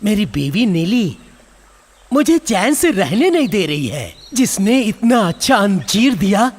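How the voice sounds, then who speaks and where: Man voicing a slow-talking, high-pitched scheming cartoon crocodile. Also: very animated, full character voice. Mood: coaxing. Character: scheming henpecked male crocodile, a false friend. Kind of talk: children's cartoon story acting.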